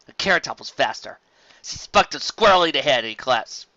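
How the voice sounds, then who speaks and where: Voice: medium pitch, lively intonation, fast, bright, forceful tone, no room sound, dull audio. A reader narrating a fan story aloud, with a plain delivery and occasional slips.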